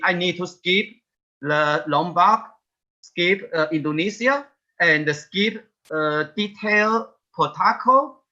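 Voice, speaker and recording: A man presents over a video call in a high, very bright voice, slow and halting, with "yeah" fillers and restarts. The sound is muffled and lo-fi, as a video call often is. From Taiwan. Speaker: guest presenter. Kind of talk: webinar presentation.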